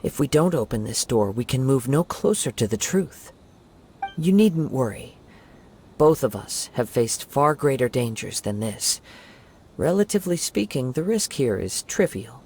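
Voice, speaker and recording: A man voicing a calm young wandering samurai in a video game, soft-spoken, composed and reassuring. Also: medium pitch, measured pace, slight background noise.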